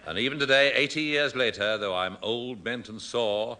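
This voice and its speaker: A man's voice: mid-range voice, normal speed, natural voice. An actor playing an old sailor who recounts his sea chronicle mock-solemnly in a 1960s radio comedy. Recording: very noisy 1960s radio broadcast.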